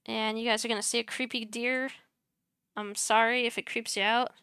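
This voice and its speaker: A young woman talks through a streaming tutorial on a headset, soft-spoken and chatty, muttering to herself as she clicks. Her voice is bright and very clear, and she takes her time. The sound is close and dry, with no room around it. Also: fairly high pitch, level tone, normal speed, no room sound. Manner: chatty, self-deprecating, mutters while clicking. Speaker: streamer teaching streaming setup. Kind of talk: casual screen-share tutorial.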